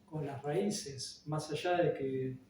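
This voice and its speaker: Slow-talking Argentine man, hesitant. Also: on the high side, steady tone, a little mellow, far from the mic, a little hiss or hum. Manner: hesitant, many fillers and restarts. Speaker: interviewee. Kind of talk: relaxed personal recollection. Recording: roomy echo, voice off-mic, faint ambience.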